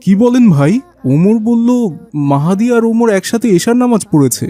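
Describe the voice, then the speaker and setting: Man voicing a high-pitched cartoon neighbour. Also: expressive, moderate pace, warm tone, dry recording, faint music behind. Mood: concerned, helpful. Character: talkative adult neighbour man in a children's cartoon. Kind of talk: animated cartoon dialogue.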